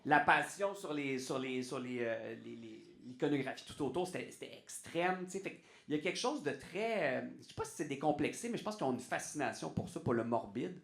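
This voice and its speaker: A man with a Québécois French accent, a guest on a podcast, talking in an animated way and restarting his sentences often. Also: mid-range voice, brisk, gravelly, few pauses, echoey room. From Quebec. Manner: animated, restarts often, says "tu sais" a lot. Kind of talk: casual podcast interview.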